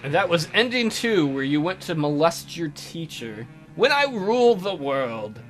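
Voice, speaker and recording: A man hosts a game playthrough in a fairly high voice that swings widely in pitch. His commentary is casual and irreverent. Soft game music sits behind him. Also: sing-song, unhurried, poor audio. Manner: jokey, irreverent. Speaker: let's play host. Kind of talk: casual joking commentary on a visual novel.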